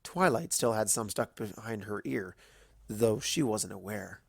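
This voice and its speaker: A man's voice: on the low side, measured pace, gravelly, breathy edge, dry recording. A man reading a scripted story aloud as its narrator.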